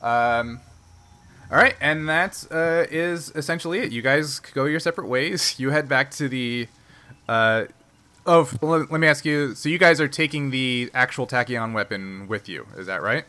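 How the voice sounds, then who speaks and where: A man's voice: medium pitch, moderate pace, pushed and bright, clear, resonant tone. A man acting as game master, narrating a tabletop game casually and checking in with the players. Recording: faint background noise.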